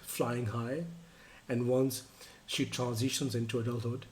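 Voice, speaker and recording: A man's voice: medium pitch, little pitch movement, unhurried, a little mellow, slight background noise. A man whose first language is Urdu, hosting a music reaction video and reviewing a song in casual chat, with frequent fillers and restarts as he thinks aloud.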